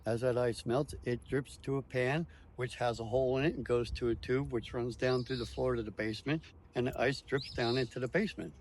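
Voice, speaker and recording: A man's voice: mid-range voice, steady tone, fairly quick, slight background noise. A man speaking as a tour guide, explaining things step by step in short, emphatic declarative phrases.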